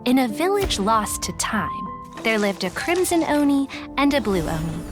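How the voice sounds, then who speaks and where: Shrewd detective who drives a hard bargain, confident, game score underneath. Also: female voice, fairly high pitch, sing-song, normal speed. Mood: storytelling. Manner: confident, shrewd dealmaker; storyteller. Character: shrewd, businesslike female detective. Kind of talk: video game dialogue. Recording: game audio with loud music behind.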